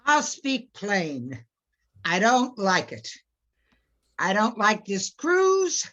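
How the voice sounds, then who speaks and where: A woman's voice: medium pitch, wildly swooping pitch, drawn-out words, a bit bright, exaggerated voice. A woman playing a dour, blunt male ship's master in a radio play reading, grumbling emphatically. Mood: grim, disapproving. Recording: muffled remote video-call audio.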